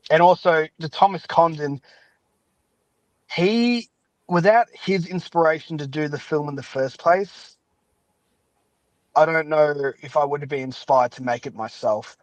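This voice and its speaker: A man's voice: a little high, a little slow, pauses often, no room sound, highs cut off. A man hosting a podcast in casual chat, talking in an enthusiastic, rambling, chatty way. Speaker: podcast host and fan-dub maker.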